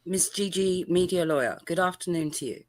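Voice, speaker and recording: A woman's voice: lowish voice, brisk, darkish tone, close to an everyday voice. A woman playing a patient judge, hesitant. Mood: calm. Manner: hesitant, with many ums. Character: patient female judge presiding over a hearing. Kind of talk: amateur courtroom roleplay.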